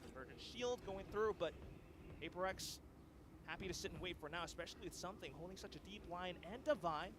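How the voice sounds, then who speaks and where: A man's voice: high voice, fairly quick, background noise. A man commentating live on an esports match, mixing play-by-play with analysis.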